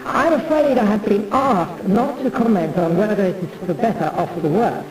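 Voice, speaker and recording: A rambling performer gives a mannered, theatrical monologue in the manner of an amateur stage artist. The voice sits far from the microphone in a roomy, echoing space. Music plays clearly behind the speech. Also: mid-range voice, a little fast. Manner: rambling, mannered, addresses listener as "pal". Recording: roomy echo, distant mic, music behind.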